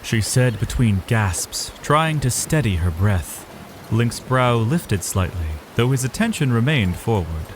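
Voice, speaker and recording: A man's voice: medium pitch, very animated, a little slow. A man giving dramatic scripted narration of a fantasy adventure. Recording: noisy ambience behind the voice.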